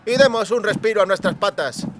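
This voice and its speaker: Man playing a high-pitched, naive country lad. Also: a little fast, background noise, close to an everyday voice. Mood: weary, suggesting. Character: naive, rustic provincial lad, curious.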